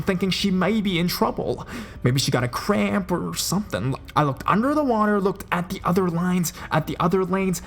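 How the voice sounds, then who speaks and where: A man telling scary stories in a scripted narration, dropping his voice low and slowing down for suspense. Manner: dramatic, drops low and slows for suspense. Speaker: horror story narrator. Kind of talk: scripted horror story narration. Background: faint music.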